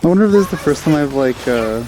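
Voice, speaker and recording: A man's voice: on the high side, expressive, fast, a little mellow, slight background noise, poor audio. A man giving casual, offhand let's play commentary as he reacts to a video game. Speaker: gaming video host.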